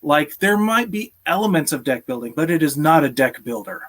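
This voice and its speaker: Higher-pitched man chatting casually on stream. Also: animated, normal speed, a bit bright. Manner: friendly, appreciative, chuckles often. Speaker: live stream host. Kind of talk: casual chat, answering viewer questions. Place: small room at home, indoors.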